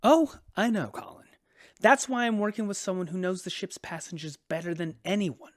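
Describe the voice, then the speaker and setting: A self-important busybody passenger in a sci-fi radio drama. He is officious and suspicious, stressing his points in a light, highish voice recorded very dry. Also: lively intonation, moderate pace, no echo. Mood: conspiratorial, determined. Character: officious, suspicious male passenger activist, self-appointed investigator.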